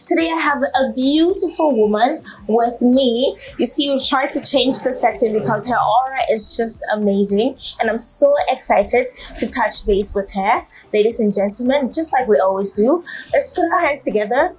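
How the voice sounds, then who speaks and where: A woman's voice: a little high, moderate pace, hardly any pauses, poor audio. A woman hosting an interview show, eager and effusive, with frequent affirming interjections. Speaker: interview host. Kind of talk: conversational interview.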